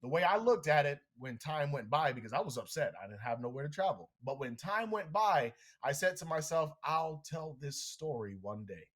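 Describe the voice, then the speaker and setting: Animated man telling a motivational story. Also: fairly high pitch, moderate pace, few pauses. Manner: animated, energetic, addresses listeners directly. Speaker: motivational speaker, podcast guest.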